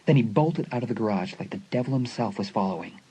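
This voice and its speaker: A man's voice: lowish voice, animated, fast, dark tone, husky, muffled recording. A man reading suspenseful scripted narration for a dramatised audiobook. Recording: faint music behind the narration.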